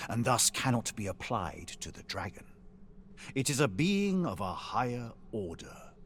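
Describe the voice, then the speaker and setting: An actor playing a weary, solemn former hero in a fantasy video game, with grave, archaic, measured delivery. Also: male voice, fairly low pitch, fairly bright tone, slight background noise, heavily acted. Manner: grave, archaic, measured storytelling with sighing line endings. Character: weary, solemn former hero, husk of a fallen chosen one.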